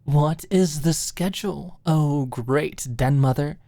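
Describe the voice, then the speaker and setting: A woman's voice: low-pitched, takes it slowly, dark tone, no room sound. A woman playing a guarded young woman with a teasing, wry wit in an audiobook. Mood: sarcastic.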